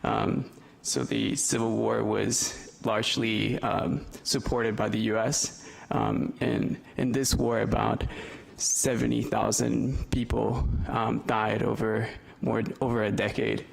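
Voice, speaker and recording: A man's voice: lowish voice, flat delivery, slow pace, creaky, hardly any pauses, poor audio. A man in his twenties from El Salvador, a youth representative telling his personal story to a panel audience, hesitant and full of filler ums. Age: 20s.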